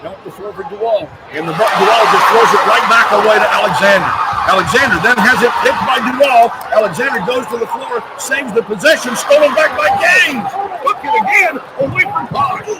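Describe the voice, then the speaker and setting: A man calling live basketball play-by-play on the radio in clipped, excitable running phrases. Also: very high-pitched, fairly quick. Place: courtside in a basketball arena. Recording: loud arena crowd noise. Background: roaring crowd, loud gym noise.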